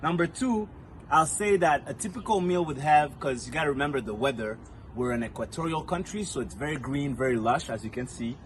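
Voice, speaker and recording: A man's voice: a little high, brisk, a little hiss or hum, rough recording. A man from Rwanda, whose first language is Kinyarwanda, giving a friendly self-recorded video message outdoors among banana trees, listing points with fillers as he goes. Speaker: storyteller.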